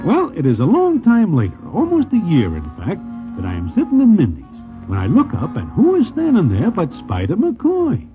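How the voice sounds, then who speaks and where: An actor playing a wry, slangy Broadway storyteller, narrating in the style of 1940s radio. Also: male voice, high-pitched, huge pitch swings, normal speed, over music. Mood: wry, storytelling. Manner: formal present-tense gangster slang, wry. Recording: tinny 1940s radio recording.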